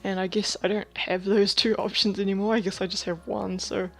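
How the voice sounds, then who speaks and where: A woman in her twenties from Thailand, talking candidly in a personal video diary, rambling with lots of fillers and soft laughs. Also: medium pitch, little pitch movement, brisk, faint music behind. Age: 20s. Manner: drops to a whisper about housemates. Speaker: vlogger.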